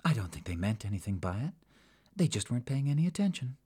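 A shy, guarded young woman, as a man voices her, giving short, soft replies. She talks quietly, with a lively lilt. She keeps her answers vague. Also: medium pitch, fast talker, breathy edge, pitched above the performer's own voice. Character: timid, evasive young woman traveler, foreign-sounding.

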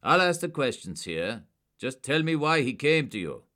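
A man's voice: very deep voice, very animated, moderate pace, fairly bright tone, heavily acted. A man doing a barking tough cop. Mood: commanding. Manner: barking, authoritative orders. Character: hard-edged male police agent, commanding. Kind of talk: audiobook character voice.

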